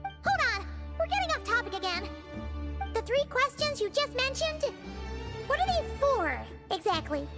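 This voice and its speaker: A tiny excitable fairy girl squeaks in a very high, bouncy voice, talking about herself in the third person. She sounds indignant in a video game scene. Loud cheerful music plays under her. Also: moderate pace, full character voice.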